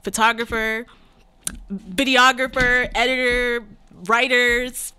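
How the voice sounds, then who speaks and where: A woman's voice: fairly high pitch, little pitch movement, slow, sharp tone, slight background noise. A young adult woman from Cameroon, a photographer and artist, talking as a podcast guest in a playful, self-mocking way with giggles. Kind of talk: casual interview chat. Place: small podcast studio. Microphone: studio mic on stand.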